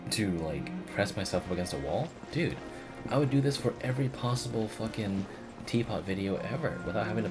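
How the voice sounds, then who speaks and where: A man giving casual livestream commentary on a game, reacting in an animated, informal way. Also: lowish voice. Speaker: game streamer.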